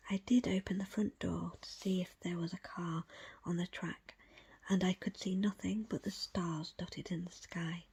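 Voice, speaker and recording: A woman reading a book aloud as ASMR in a breathy, unvoiced whisper, with hushed, deliberate phrasing in short pieces. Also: lowish voice. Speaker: audiobook reader.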